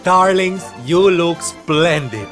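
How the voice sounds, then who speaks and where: A flamboyant showy jester. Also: male voice, fairly high pitch, expressive, takes it slowly. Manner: theatrical, drawling, calls everyone darlings. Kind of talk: video game cutscene voice acting. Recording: game music behind.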